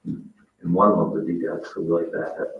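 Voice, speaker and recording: Male meeting participant, casual talk, creaky low voice, heard from a distance. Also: level tone, normal speed, slight background noise. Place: indoor town council meeting room. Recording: roomy, distant, dull meeting-room audio.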